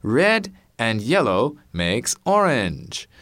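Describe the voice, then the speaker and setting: A man voicing a schoolteacher who asks the class questions slowly and encouragingly. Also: medium pitch, big pitch swings, dry recording, clean studio sound, voice pushed up, heavily acted. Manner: loud, slow and encouraging. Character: cheerful schoolteacher quizzing a class.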